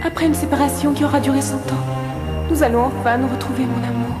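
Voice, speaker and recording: A woman's voice: sits high, fairly even intonation, fast, lightly acted. A woman voicing a lovesick young female fairy in a cartoon, tender and longing. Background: music.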